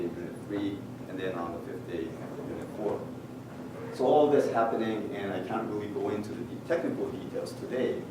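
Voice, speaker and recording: Japanese-accented man, echoey distant hall. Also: lowish voice. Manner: measured, technical, many "uh" pauses, dry humor. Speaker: conference speaker. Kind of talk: presentation talk with slides. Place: large conference hall. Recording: echoey hall picked up from far off with noise.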